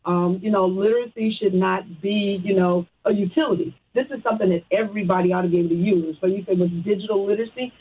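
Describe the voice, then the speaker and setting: A woman's voice: mid-range voice, fast talker, few pauses, husky. American woman on a muffled phone line. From Chicago, United States. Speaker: radio guest, social services program supervisor. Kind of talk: conversational interview answers. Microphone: phone call.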